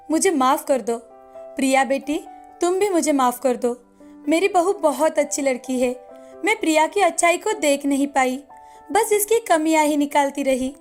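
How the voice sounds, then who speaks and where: A woman's voice: high voice, lightly acted. A woman voicing a haughty, dramatic middle-aged mother-in-law in a cartoon moral story. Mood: remorseful.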